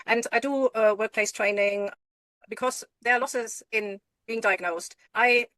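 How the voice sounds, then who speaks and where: A woman's voice: fairly high pitch, fairly even intonation, unhurried, sharp tone, dry recording, poor audio. Woman, emphatic and encouraging. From Germany. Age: middle-aged. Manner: encouraging, emphatic, repeats herself for stress. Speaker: guest. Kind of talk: earnest advice in conversation.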